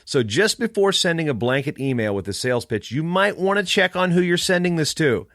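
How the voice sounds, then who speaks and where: A man's voice: fairly high pitch, very animated, a little fast, a bit bright, no room sound, clean studio sound. A man podcaster telling a story in a casual, snarky, emphatic rant. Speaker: wrestling podcaster.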